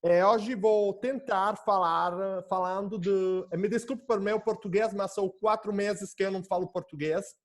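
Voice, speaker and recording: A man's voice: sits high, steady tone, normal speed, hardly any pauses. Hesitant Italian man lecturing. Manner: hesitant, searching for words. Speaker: guest lecturer. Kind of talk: academic lecture. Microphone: video call.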